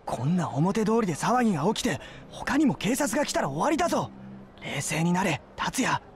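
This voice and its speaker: Worried young male sidekick, fairly high, animated, cautioning, faint ambient noise behind. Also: brisk. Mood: urgent, worried. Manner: urgent, cautioning. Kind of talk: dramatic game cutscene acting.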